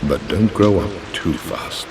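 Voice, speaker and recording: An actor playing a kindly, deferential old British butler with a gravelly voice and a courtly, indulgent way of talking. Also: male voice, low-pitched, unhurried, far from the mic, over music. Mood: kindly, amused. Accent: British English.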